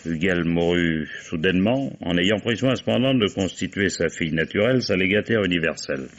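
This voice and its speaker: Police commissioner, very low voice, deliberate, musing, commanding, vintage radio drama, dull recording. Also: male voice, expressive. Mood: reflective, explaining. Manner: deliberate questioning with musing interjections. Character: weary, shrewd male police commissioner, commanding. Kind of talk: vintage French radio mystery acting.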